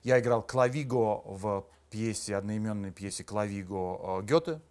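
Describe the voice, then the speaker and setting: A man's voice: on the low side, moderate pace, a little mellow. A middle-aged man, an actor and musician, answering interview questions thoughtfully with frequent hesitations. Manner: thoughtful, many hesitation sounds. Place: small studio with red curtain.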